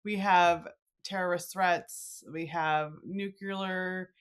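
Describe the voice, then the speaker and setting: A woman's voice: fairly low pitch, fairly even intonation, slow, clean tone. A middle-aged woman hosting a podcast, talking in a casual, chatty way and slipping into little quoted asides. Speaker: podcast host interviewing an author. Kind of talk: casual conversational interview.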